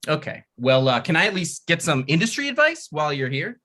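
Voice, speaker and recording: A man's voice: fairly high pitch, sing-song, a little fast, sharp tone. A man reading the part of an awkward, struggling sitcom writer, stammering and deflated. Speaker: writer and actor in a table read. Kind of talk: comedy table read.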